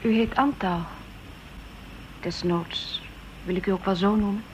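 A woman's medium-pitched voice an actress plays a polite, perceptive assistant in a radio play. She is gentle, measured and deferential, on an older recording with faint background noise. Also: fairly even intonation, muffled recording, natural voice. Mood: gentle, tentative. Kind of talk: Dutch radio drama dialogue.

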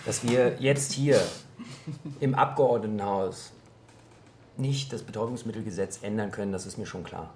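Male politician man acting, reform-minded, articulate and insistent, lowish voice, committee-debate radio drama, faint background noise. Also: normal speed, a little mellow, natural voice. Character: male politician arguing earnestly for drug policy reform.

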